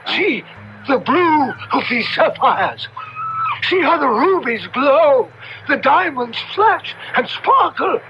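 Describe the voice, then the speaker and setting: A misshapen, covetous monster bargains slyly in a very high, strained voice that swings theatrically. The speech is archaic and wheedling, in a 1970s radio horror play, heard through a dull vintage broadcast with music behind it. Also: male voice, expressive, takes it slowly, full character voice. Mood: eager tempting.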